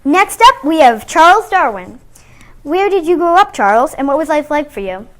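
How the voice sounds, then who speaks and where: A young woman hosting a role-play talk show, asking questions brightly. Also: sits high, sing-song, moderate pace. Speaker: host. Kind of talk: school-project talk show.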